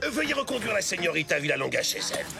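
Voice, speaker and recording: A man's voice: high-pitched, very animated, racing, gravelly, over music, exaggerated voice. An actor playing a haughty, scheming commandant in a dubbed cartoon, pompous and sarcastic in his delivery. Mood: smug, sly. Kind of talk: dubbed cartoon villain acting.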